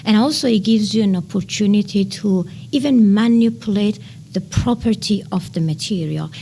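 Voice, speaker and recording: A woman in her thirties from Iran, speaking English with a Persian accent. She lays out science in an eager interview tone, filling pauses with "uh". Her voice carries a gravelly, fry-tinged edge over a faint bed of room hiss. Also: mid-range voice, mellow. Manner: enthusiastic explainer with frequent "uh" pauses. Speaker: nanotechnology researcher. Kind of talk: explanatory interview answers.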